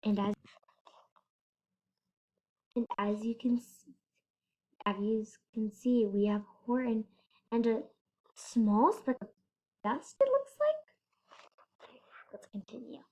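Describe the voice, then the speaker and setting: High-pitched female storybook reader. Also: takes it slowly, pauses often, low-quality recording. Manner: expressive reading with short explanatory asides. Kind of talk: children's picture-book read-aloud.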